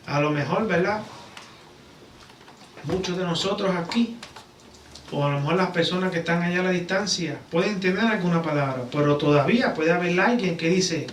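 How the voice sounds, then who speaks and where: A man with a Puerto Rican accent preaching conversationally in a church, often checking for agreement with rhetorical questions. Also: fairly high pitch. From Puerto Rico. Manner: repeatedly seeks agreement with rhetorical tag questions. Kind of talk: conversational sermon. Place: church sanctuary. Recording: roomy, distant mic, background noise.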